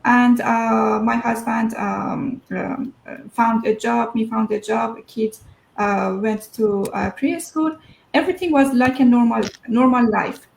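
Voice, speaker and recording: A woman's voice: fairly high pitch, fairly even intonation, a little slow, creaky, echoey room, poor audio. A woman, telling her personal story as an interview guest, hesitant and full of fillers. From Iran.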